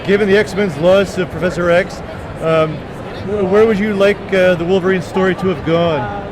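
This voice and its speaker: A man doing a casual fan interview, halting, nervous and starstruck, as he puts questions to his guest. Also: high voice, steady tone. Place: busy fan convention. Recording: noisy convention floor with crowd chatter.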